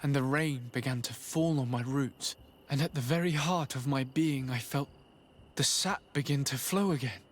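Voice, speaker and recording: An actor playing an earnest young nobleman emissary in a video game, speaking in a measured, formal way. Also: medium pitch, lightly acted. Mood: shaken, hushed. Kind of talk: video game cutscene dialogue.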